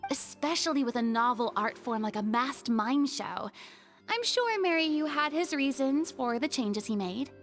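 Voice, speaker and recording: A woman's voice: high-pitched, lively intonation, normal speed, fairly bright tone. A woman playing a playful, self-important young celebrity performer in a video game, with a dramatic, theatrical manner. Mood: breezy, reassuring. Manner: dramatic, flamboyant, with airy asides. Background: background music.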